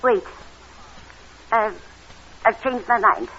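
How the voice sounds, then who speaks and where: An actress playing a frail, fretful old aunt, wavering and fussy, in an old radio drama. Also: female voice, on the high side, slow pace, bright, forceful tone. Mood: uneasy, pleading. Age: older. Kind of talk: 1940s radio drama acting. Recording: muffled, noisy old radio recording.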